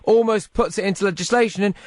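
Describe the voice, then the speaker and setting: A British male radio host with a notably high voice for a man. He talks emphatically and puts probing questions in a phone-in style, with a dry sound free of room echo. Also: fairly even intonation, quick pace, darkish tone. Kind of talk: late-night phone-in talk radio.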